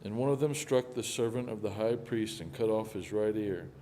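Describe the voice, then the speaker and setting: A man reading a scripture passage aloud in a slow, even way. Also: fairly low pitch, a little hiss or hum, poor audio. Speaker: scripture reader.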